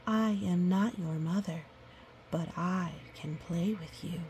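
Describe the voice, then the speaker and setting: A woman reading the lines of a motherly female polar bear in a storybook, soft and measured, firm but caring. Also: on the low side, a little hiss or hum, rough recording. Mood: kind.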